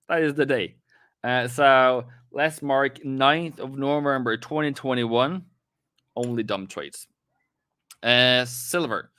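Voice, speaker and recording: A man's voice: mid-range voice, sharp tone, dry recording, studio quality. A young Norwegian man with a light Norwegian accent, rambling and self-deprecating as he streams live trading commentary to his chat. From Norway.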